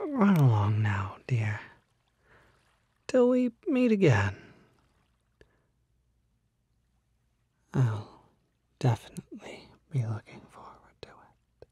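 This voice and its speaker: Male actor as smooth predatory kelpie, very husky and breathy, slow, coaxing, halting, genteel, ASMR roleplay. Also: mid-range voice, huge pitch swings, warm tone, no echo, exaggerated voice. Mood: wistful, saccharine. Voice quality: breathy, husky, half-whispered. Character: unsettling smooth-talking male kelpie, charming, needy, secretly predatory.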